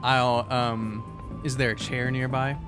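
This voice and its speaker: A man playing a tabletop role-playing game, joking casually in a halting way with stammered repeats. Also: mid-range voice, level tone, over music. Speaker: player in tabletop game podcast.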